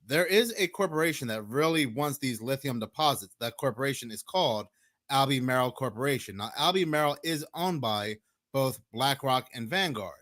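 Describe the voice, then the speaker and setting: A man from the United States, hoarse with a sore throat, explaining a theory in a measured, steady way. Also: medium pitch, dry recording, clean studio sound. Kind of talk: explanatory conspiracy commentary.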